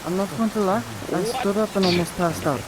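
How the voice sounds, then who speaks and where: A man playing a languid, dryly courteous adventurer in improvised fantasy roleplay, speaking in a measured way. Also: sits high, crowd noise, lightly acted, poor audio. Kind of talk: improvised in-character roleplay banter. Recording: hissy, rain noise behind.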